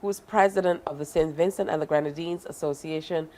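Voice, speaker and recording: A woman's voice: sits low, fairly quick, warm tone. A woman hosting a morning talk-show interview, playful and teasing with her guests.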